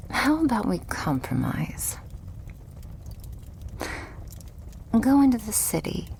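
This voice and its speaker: A woman playing a loving, stubborn vampire girlfriend in an intimate ASMR roleplay, speaking softly and breathily, often in whispers, in a tender, coaxing way. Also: mid-range voice, a little hiss or hum. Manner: slow, tender, coaxing, lightly teasing.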